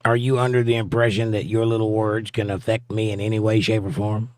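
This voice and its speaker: A man's voice: on the low side, flat delivery, brisk, no echo. A man with a Southern accent hosting a livestream, reading viewer comments in a casual, teasing way and chuckling often. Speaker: livestream host reading chat. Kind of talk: casual chat.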